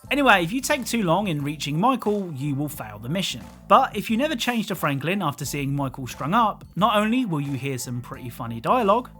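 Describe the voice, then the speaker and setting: A man delivers scripted gaming commentary with a touch of dry humour. His voice is on the high side and very animated, over a soft music bed. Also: a little fast, few pauses. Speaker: video game YouTuber, narrator.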